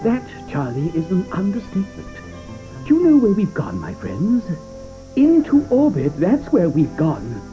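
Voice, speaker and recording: A woman's voice: on the low side, huge pitch swings, unhurried, poor audio. An actress playing an eccentric, fussy grown man who is theatrical and stammers when flustered, in a studio radio play with music underneath. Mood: grandly.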